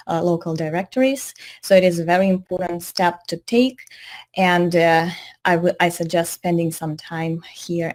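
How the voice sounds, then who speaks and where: A woman's voice: lowish voice, darkish tone. A woman from Ukraine with a Ukrainian accent, presenting an instructional webinar in a patient, explanatory way and often correcting herself mid-sentence. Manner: patient, explanatory, hesitant with frequent self-corrections. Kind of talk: instructional webinar talk over slides.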